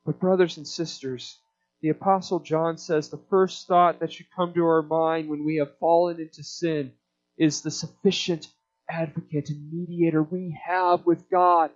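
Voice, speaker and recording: A man preaching a sermon in an emphatic, deliberate expository manner, repeating key points, on a dull band-limited recording. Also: a little high, level tone.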